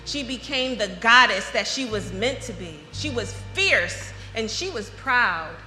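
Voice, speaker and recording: An American woman performing a dramatic spoken-word monologue, with emphatic, poetic phrasing and deliberate pauses. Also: fairly high pitch, animated, sharp tone, soft background music. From United States (Philadelphia). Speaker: storyteller performing an autobiographical monologue. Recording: echoey hall with long reverb.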